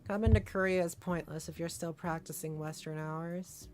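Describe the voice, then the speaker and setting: A woman's voice: lowish voice, fairly even intonation, normal speed, darkish tone, soft background music, close to an everyday voice. A woman voicing a blunt, bossy and demanding female esports teammate in a visual novel. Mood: stern. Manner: blunt, commanding.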